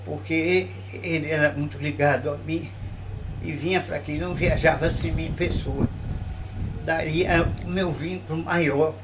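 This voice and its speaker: An older man recalls local history, his voice a little high for a man. He talks slowly, in short phrases, and keeps checking in with tag questions. Noisy outdoor ambience sits clearly behind him. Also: steady tone. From Bahia, Brazil. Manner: unhurried, with frequent tag questions. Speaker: local storyteller interviewee. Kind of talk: reminiscing interview. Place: historic old mansion.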